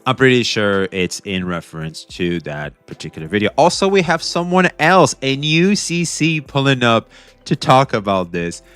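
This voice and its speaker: A young man hosting a casual reaction stream, talking back to a video with excited, repetitive commentary. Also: medium pitch, normal speed, clear, resonant tone, faint music behind. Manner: excitable, talks back to the video, repeats himself.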